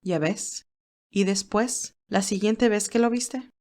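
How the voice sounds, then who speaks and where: A woman voicing a calm, motherly older woman who reasons in a measured, gently firm way in audiobook dialogue. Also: mid-range voice, natural voice.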